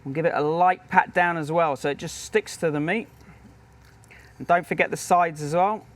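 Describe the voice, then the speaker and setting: A British man with a fairly high voice talks through a cooking demonstration as he works. He is a professional chef, upbeat and chatty, showing each step at the grill. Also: moderate pace, a bit bright.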